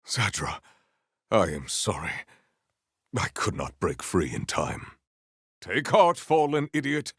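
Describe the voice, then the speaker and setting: A man playing a proud, stern warrior in a video game, speaking formally and theatrically in grandiose declarations with a gravelly edge. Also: fairly low pitch, very animated, slow pace, long pauses, a bit bright, no echo, clean studio sound, full character voice. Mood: triumphant, bold. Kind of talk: video game character voice lines.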